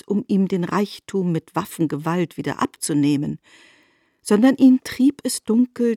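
A woman narrating a literary radio drama with articulate, expressive delivery and deliberate emphasis. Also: medium pitch, no room sound, clean studio sound.

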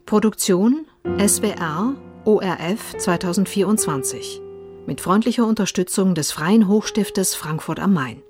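A woman reading scripted radio credits as an announcer, formal and measured. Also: medium pitch, animated, music in the background.

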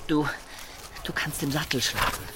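An actor playing an impassioned, devoted young knight in a German radio play, with an emotional, exclamatory delivery. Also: male voice, on the high side, moderate pace, very noisy, natural voice. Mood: protective, cautious.

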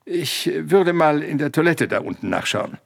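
An elderly professor in a radio drama. His voice is gravelly and husky and swings widely in pitch, with clipped, self-assured authority. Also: lively intonation, normal speed. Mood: brisk, commanding. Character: older male professor, knowing, brisk, self-assured. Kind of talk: German radio play acting.

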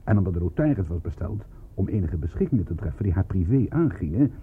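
A worldly-wise police inspector, sardonic and probing. His very low voice moves through lively inflections, on a thin, phone-like radio play recording. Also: fast, husky, heavily acted. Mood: probing, insistent. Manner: terse, dry, insistent questioning.

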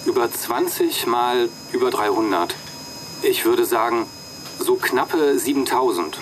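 A man's voice: mid-range voice, a little slow, a little hiss or hum, lightly acted. A man playing a glib, self-assured job candidate in a radio drama, speaking casually. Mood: flustered.

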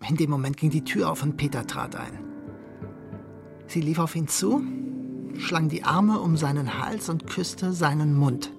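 An actor playing a brooding betrayed husband who narrates his story in a radio drama, in a measured, restrained way. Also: fairly high pitch, creaky, over music. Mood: hushed, observant. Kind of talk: literary first-person radio drama narration.

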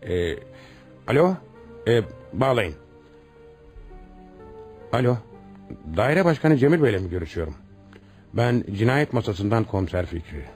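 Deep-voiced stern police commissioner. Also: male voice, animated, measured pace, long pauses, music in the background. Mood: brooding, businesslike. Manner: commanding, pressing interrogator. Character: stern, insistent male homicide police commissioner. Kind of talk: radio drama acting.

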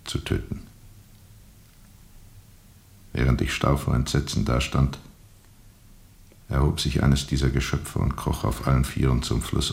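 Ein Schauspieler spielt in einem Hörspiel einen weltmüden, von dunklen Erinnerungen gequälten Flusskapitän, der mit rauer Stimme bedächtig erzählt. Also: male voice, rumbling low, creaky, darkish tone, exaggerated voice. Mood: haunted, grave. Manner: deliberate, pausing and repeating for emphasis. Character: world-weary riverboat captain recounting dark memories, brooding and haunted. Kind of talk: radio drama monologue, slow storytelling.

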